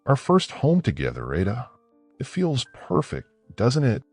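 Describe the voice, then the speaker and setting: A man's voice: medium pitch, huge pitch swings, a little slow, no echo, soft background music, pitched above the performer's own voice, exaggerated voice. A man voicing an earnest young bridegroom in a folktale. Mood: pleased. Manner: earnest, hushed when doubtful. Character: young bridegroom, admiring, later quietly suspicious.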